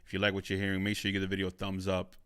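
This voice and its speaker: A man's voice: low-pitched, completely flat delivery, racing, a bit bright, smooth. A young man hosting a solo podcast, talking emphatically and repeating his points for emphasis. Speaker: podcast host and studio owner. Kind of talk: motivational solo podcast monologue.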